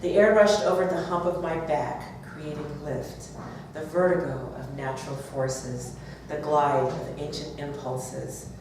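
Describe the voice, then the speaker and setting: A woman's voice: low voice, fairly even intonation, unhurried. A woman author speaking and reading aloud at a live event. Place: bookstore, audience event. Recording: roomy, distant, noisy live event recording.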